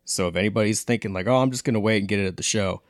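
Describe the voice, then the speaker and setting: Man, podcast co-host, fairly deep, casual promotional announcements, dry close sound. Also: very fast, dry recording.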